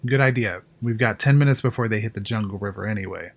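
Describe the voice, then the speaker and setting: A man's voice: lowish voice, fast talker. A man playing a tense, cautious control room engineer in an audio drama, with a guarded, practical manner. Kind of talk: fan audio drama acting.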